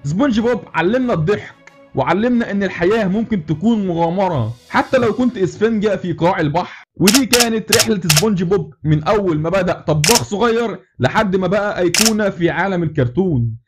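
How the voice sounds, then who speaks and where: A man's voice: high-pitched, lively intonation, fast, over music. A man with an Egyptian Arabic accent, narrating a scripted cartoon recap video.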